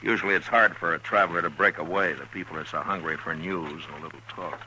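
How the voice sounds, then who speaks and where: An actor playing a stern, steady frontier marshal in a 1950s radio western, terse and authoritative. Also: male voice, deep voice, quick pace, a little hiss or hum, rough recording. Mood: steady, businesslike. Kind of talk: 1950s radio western acting and first-person narration. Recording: vintage 1950s radio recording with music.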